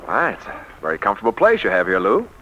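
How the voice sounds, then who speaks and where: An American actor playing a brash, sardonic, lovesick book publisher in a 1940s radio romantic comedy. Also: male voice, fairly low pitch, huge pitch swings, quick pace, sharp tone, heavily acted. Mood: breezy wooing. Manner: wisecracking, insistent, faltering when flustered. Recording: muffled, noisy 1940s radio recording.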